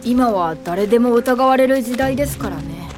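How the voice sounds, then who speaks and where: Admiring young female assistant played by an actress, slightly high voice, polite, radio drama over clear music. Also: animated, normal speed, lightly acted. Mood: concerned, respectful. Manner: polite, respectful. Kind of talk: radio drama acting and narration.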